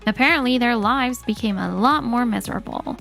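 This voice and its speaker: A woman's voice: on the high side, a little fast, gravelly, a bit bright, soft background music. A woman reading scripted first-person story narration for a manga dub.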